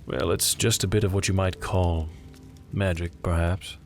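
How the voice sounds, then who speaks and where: A weary middle-aged sergeant major acted out by a man in an audio drama. He speaks low and solemn, in terse, offhand phrases, with faint music underneath. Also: steady tone, unhurried. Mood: guarded, offhand.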